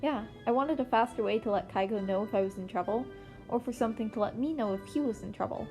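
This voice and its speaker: A woman's voice: fairly high pitch, fast talker, dark tone, close to an everyday voice. A woman voicing a fifteen-year-old boy vigilante in an amateur audio story, blunt and offhand, with music underneath. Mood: nonchalant.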